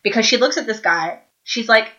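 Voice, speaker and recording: A woman's voice: fairly high pitch, brisk, sharp tone, dull audio. A woman co-hosting a podcast, recapping an episode in casual, enthusiastic chat and reading from her notes. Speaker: podcast co-host.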